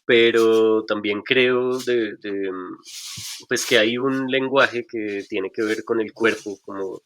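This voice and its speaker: A man's voice: lowish voice, fairly bright tone, a little hiss or hum, low-quality recording. A middle-aged man, a stage performer, answering interview questions thoughtfully with frequent hesitations and restarts.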